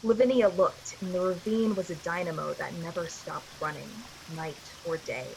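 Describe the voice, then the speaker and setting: A woman narrating a radio play in a measured, atmospheric, dramatic storytelling style. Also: lowish voice, steady tone, vocal fry, a little mellow, slight background noise, rough recording. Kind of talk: literary radio-play narration in 1940s manner. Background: music.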